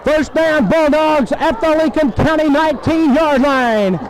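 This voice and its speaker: A man's voice: very high voice, a little slow, poor audio. A man calls live football play-by-play on a 1970s radio broadcast, in clipped phrases full of names and numbers.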